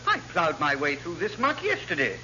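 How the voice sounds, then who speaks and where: An actor playing a muddled, pompous elderly dignitary who rambles through oratory in classic radio comedy style. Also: male voice, a little high, huge pitch swings, normal speed. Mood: pompous, befuddled. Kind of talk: classic radio sitcom acting. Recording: muffled, very noisy old radio recording.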